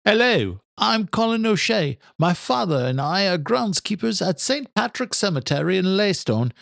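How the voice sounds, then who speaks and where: A man's voice: sits high, very animated, moderate pace, gravelly, a bit bright, dry recording, clean studio sound, voice pushed up, heavily acted. A man voicing a polite, good-natured young adult groundskeeper son in a storybook reading. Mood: earnest.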